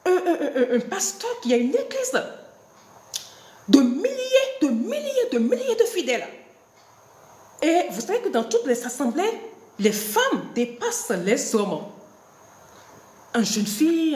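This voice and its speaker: A woman's voice: sits high, echoey room. A woman preaching a devotional sermon with a cold, laughing often and restarting her sentences. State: has a cold.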